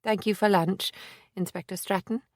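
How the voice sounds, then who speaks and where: A woman voicing a determined woman private investigator, speaking in a measured, formal, resolute way. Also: mid-range voice, fairly even intonation, darkish tone, dry recording, studio quality, pitched above the performer's own voice, natural voice. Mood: composed.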